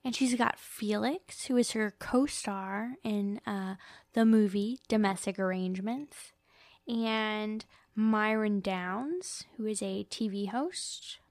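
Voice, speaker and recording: A woman's voice: medium pitch, takes it slowly, dry recording. A woman hosting a podcast, chatting casually and comedically about a book in a playful, emphatic, sweary way. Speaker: podcast host.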